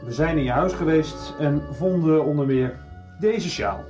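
An actor playing a composed, businesslike police detective in a radio soap, speaking with measured authority. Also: male voice, on the high side, over music, close to an everyday voice. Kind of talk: 1990s radio soap acting.

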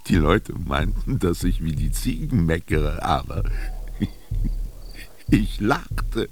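An older man playing a wry, earthy old Egyptian former goatherd who reminisces and philosophizes in a radio drama, slowly and with dramatic pauses, his voice gravelly and husky. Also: low-pitched, exaggerated voice. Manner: slow, brooding, expressive storytelling with dramatic pauses. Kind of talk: literary radio drama monologue.

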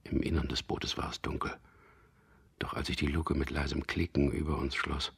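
An actor in a German radio drama playing a resolute man on a secret mission, narrating deliberately and introspectively in a gravelly, husky, hushed voice. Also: rumbling low, level tone, exaggerated voice. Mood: tense recollection. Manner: deliberate, introspective, recounting events.

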